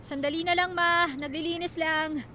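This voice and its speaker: A woman's voice: very high-pitched, steady tone, normal speed, sharp tone, a little hiss or hum. A woman playing a cold, resentful nineteen-year-old daughter, curt and exasperated, in radio drama style. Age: young adult.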